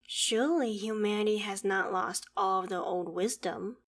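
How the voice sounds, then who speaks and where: A woman's voice: mid-range voice, moderate pace, a bit bright, natural voice. A woman playing a proud, ancient female dragon in an audio roleplay, speaking in formal, archaic phrases with a haughty, theatrical manner that still holds fondness for humans. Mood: incredulous, stern. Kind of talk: scripted audio roleplay monologue.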